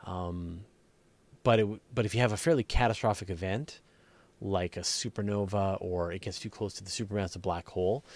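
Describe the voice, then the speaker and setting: Casual low-voiced man hosting live Q&A. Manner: conversational, thinking aloud, explanatory. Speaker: host. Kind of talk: casual live question-and-answer on space and astronomy.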